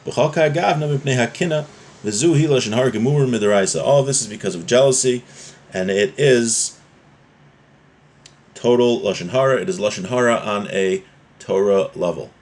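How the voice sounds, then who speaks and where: Male religious teacher, mid-pitched, informal and explanatory, moving between reading a legal text and commenting on it. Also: moderate pace, darkish tone. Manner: explanatory, often checking with "right?".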